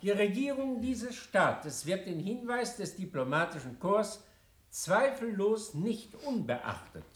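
A pompous senior diplomat in a radio drama. His fairly high voice swings widely through solemn, florid oratory. The room around it is echoey. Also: big pitch swings, takes it slowly, exaggerated voice. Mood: solemn, formal. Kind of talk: radio play acting, formal speech.

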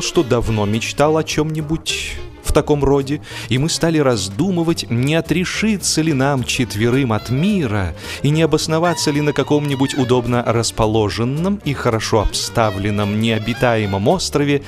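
A man reading a humorous audiobook in an expressive, measured style with theatrical pauses. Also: medium pitch. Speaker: audiobook narrator. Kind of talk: expressive humorous scripted narration.

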